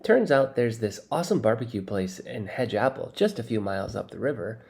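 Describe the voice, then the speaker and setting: A man reading a first-person children's novel aloud as expressive, scripted narration. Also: mid-range voice, brisk, creaky. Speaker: audiobook reader.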